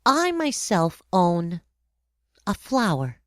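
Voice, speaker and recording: A woman's voice: fairly low pitch, very animated, slow pace, a bit bright, dry recording, clean studio sound, full character voice. A woman playing an earnest, persistent young boy prince full of wonder in a radio drama. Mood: earnest, thoughtful. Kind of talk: theatrical radio drama acting.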